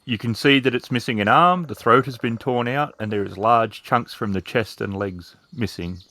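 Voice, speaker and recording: A man's voice: medium pitch, moderate pace, bright, forceful tone. A man acting as game master, describing scenes in a tabletop role-playing game.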